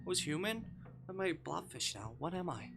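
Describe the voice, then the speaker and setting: A man's voice: a little high, big pitch swings, moderate pace, gravelly, loud music behind the voice, voice pushed up. A man voicing a confused, anxious talking blobfish from a visual novel, questioning and bewildered. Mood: shocked confusion. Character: confused, anxious blobfish who lost his memory.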